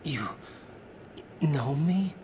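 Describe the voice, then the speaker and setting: Terse, confused young soldier hero. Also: male voice, on the high side, animated, extremely slow, a little mellow, a little hiss or hum. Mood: dazed. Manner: terse, disbelieving questions. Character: confused young male soldier, earnest questioning game hero. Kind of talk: dramatic video game cutscene acting.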